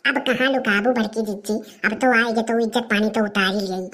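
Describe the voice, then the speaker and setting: A woman's voice: mid-range voice, steady tone, fairly quick, a bit bright. A woman playing a timid, deferential younger village sidekick in a comedy cartoon, chattering and placating in an Awadhi accent. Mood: worried. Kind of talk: rustic comedy cartoon dialogue.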